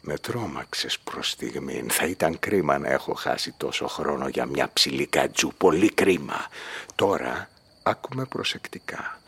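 A man's voice: a bass voice, expressive, vocal fry, sharp tone, husky, full character voice. An actor playing a violent, coldly mocking male villain in a Greek radio play, threatening and commanding. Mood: cold menace.

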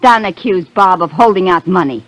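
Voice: mid-range voice, normal speed, fairly bright tone, natural voice. A performer playing a brassy, defensive wife in a 1950s radio mystery, talking in slangy, indignant snaps. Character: brassy, defensive wife of a music school owner. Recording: old 1950s radio recording, faint music.

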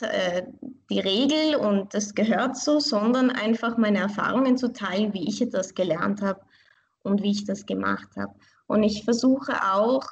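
Earnest young woman, slightly gravelly. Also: medium pitch, darkish tone. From Vienna, Austria. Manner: thoughtful, earnest, restarts phrases while searching for words. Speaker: pianist and online piano teacher. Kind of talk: interview answers.

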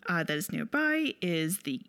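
A woman's voice: fairly low pitch, very animated, moderate pace, a bit bright, dry recording. A woman co-hosting a film podcast in a casual, conversational style, recorded close and dry. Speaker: podcast co-host.

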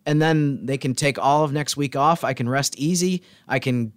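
A man's voice: medium pitch, normal speed. A man co-hosting a sports podcast in casual conversation, talking as a sportswriter.

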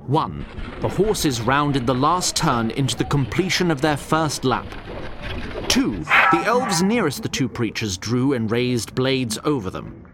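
A man's voice: a little high, noisy background. A man narrating a scripted fantasy audio drama in a dramatic storytelling style.

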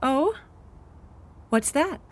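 Coolly condescending, menacing innkeeper. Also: female voice, high-pitched, animated, drawn-out words, a little mellow, exaggerated voice. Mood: falsely sweet. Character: poised, condescending female innkeeper with hidden menace. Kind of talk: naturalistic audio drama acting.

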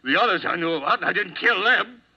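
A man's voice: high-pitched, expressive, fast, bright, forceful tone, breathy edge, heavily acted. An actor playing a volatile, hot-tempered man wanted for murder, swinging between threats and pleading, in a 1950s radio drama. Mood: desperate. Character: hot-tempered desperate male murder suspect. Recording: dull lo-fi old radio broadcast.

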